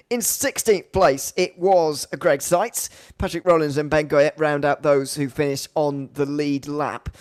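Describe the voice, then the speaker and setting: A man hosting live sim racing coverage as commentator and interviewer, with somewhat halting, stop-start phrasing. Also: on the high side, no echo, clean studio sound. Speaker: race commentator and host.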